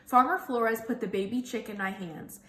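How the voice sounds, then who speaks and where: High-voiced woman reading a story, roomy echo. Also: normal speed, sharp tone. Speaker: teacher reading aloud. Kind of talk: expressive children's storybook narration.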